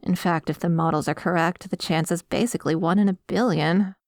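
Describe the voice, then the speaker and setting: A woman playing a dominant, obsessive vampire in a scripted ASMR roleplay, savouring her words with breathy sighs and slips into whispers, commanding and condescending. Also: fairly low pitch, fairly even intonation, brisk, a little mellow, no echo, studio quality. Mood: possessive, smug. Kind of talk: scripted ASMR roleplay audio, addressing the listener.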